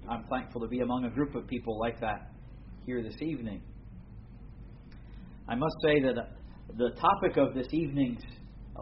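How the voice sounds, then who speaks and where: A man from the United States preaching a sermon to an evening gathering, earnest and formal, pausing often with fillers. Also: mid-range voice. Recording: dull lo-fi recording with faint room noise.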